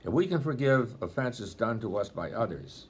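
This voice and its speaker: A man in his eighties with a deep voice, speaking as a deacon in a homily-style talk, telling stories with gentle dry humor, filmed on a laptop webcam at home. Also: expressive, normal speed, slightly rough. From Rochester, New York area. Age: 80s. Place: home room, indoors. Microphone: laptop webcam at close range.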